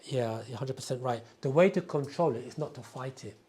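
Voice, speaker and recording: Husky-voiced man teaching patiently. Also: medium pitch, a little fast, a little mellow. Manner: explains patiently, repeats phrases and chants. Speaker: spiritual teacher answering questions. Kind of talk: live question and answer session.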